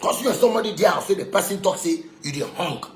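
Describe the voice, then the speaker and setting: Ranting high-voiced Ghanaian man in echoey room. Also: lively intonation, fast talker. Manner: confrontational, repetitive, insulting. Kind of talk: angry ranting monologue in Pidgin and broken English. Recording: echoey room with background noise.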